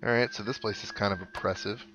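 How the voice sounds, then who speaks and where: A man's voice: on the low side, fairly even intonation, quick pace, low-quality recording. A man giving casual, wry let's play commentary with sarcastic asides. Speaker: gaming video host. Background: game music.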